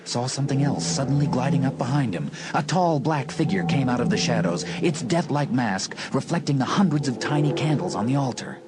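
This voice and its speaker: A man's voice: medium pitch, animated, fairly quick, few pauses. A man narrating a horror audiobook in a suspenseful, theatrical way.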